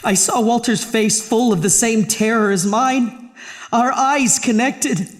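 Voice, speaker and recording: A man's voice: very high voice, takes it slowly. A man playing a tormented, terrified husband in a horror audio drama, dramatic and intense. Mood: haunted narration. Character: terrified, tormented husband narrating his own horror tale. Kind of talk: horror audio drama, first-person narration and dialogue. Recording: long reverb, music underneath.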